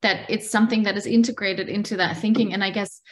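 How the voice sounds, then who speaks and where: A woman scientist you would hear in a webinar, feeling her way haltingly through an answer from her desk. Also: medium pitch, steady tone. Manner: hesitant, halting with repeated words. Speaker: panelist, climate scientist. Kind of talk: unscripted panel answer. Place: indoors, on a video call. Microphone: video call microphone.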